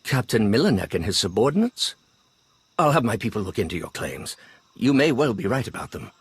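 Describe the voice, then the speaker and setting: An actor playing a proud, calculating imperial lord in a video game, speaking in a measured, formal, haughty way. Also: male voice, on the low side, sing-song, breathy edge, no echo, full character voice. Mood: resigned. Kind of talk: video game character acting.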